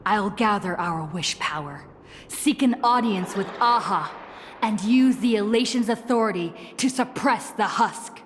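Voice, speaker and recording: A woman playing a resolute, solemn young supplicant in a video game, earnest and deliberate, with reverb and faint ambience. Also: mid-range voice, a little hiss or hum, close to an everyday voice. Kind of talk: scripted video game voice acting.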